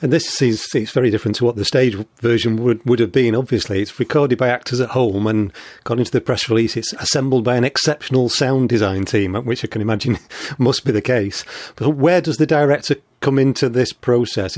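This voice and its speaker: A man's voice: medium pitch, fast, darkish tone. A man hosting an interview programme, giving scripted introductions and putting questions to his guest. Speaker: host and interviewer.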